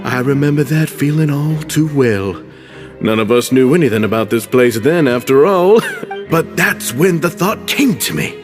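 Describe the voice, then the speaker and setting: A man voices an idealistic club founder in a game cutscene, polite to the point of deference. His delivery is upbeat and lively, and his pitch rises and falls a lot as he grows keen. Faint music plays underneath. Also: moderate pace, natural voice. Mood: earnest. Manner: deferential, upbeat and a little flowery. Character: earnest middle-aged male club founder, courteous and idealistic. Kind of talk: video game voice acting.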